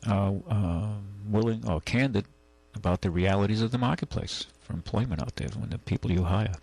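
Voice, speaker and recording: Gravelly older male radio host. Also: on the low side, fairly quick, darkish tone. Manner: rambling, folksy, many restarts. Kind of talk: call-in radio talk. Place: radio studio.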